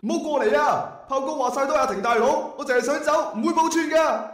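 A man's voice: very high-pitched, fast. An actor playing a nervous, earnest young man among gangsters in an internet radio drama, anxious and pleading, with a Hong Kong Cantonese accent. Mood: panicked. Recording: echoey, faint music behind.

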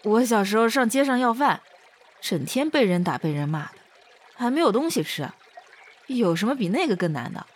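A woman's voice: fairly high pitch, big pitch swings, normal speed. A woman playing a childlike, naive and devoted girl in a Chinese costume audio drama, artless and pleading, calling herself by name. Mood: earnest, stubborn.

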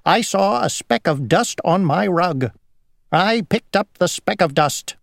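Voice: mid-range voice, animated, measured pace, creaky, no room sound, clean studio sound, pitched above the performer's own voice. A reader voicing an obsessively tidy housefly from a children's storybook, in a busy, insistent manner. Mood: fussy, earnest.

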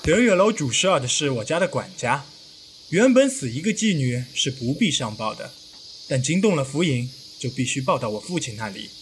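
A man's voice: medium pitch, big pitch swings, normal speed, slight background noise, natural voice. A man playing an earnest, well-bred young master, an official's son, in a period audio drama. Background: music.

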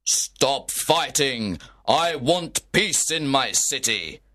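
A man voicing an angry prince who gives orders slowly and firmly. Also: mid-range voice, expressive, bright, forceful tone, full character voice. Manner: commanding, slow and emphatic. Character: angry stern male prince ruler.

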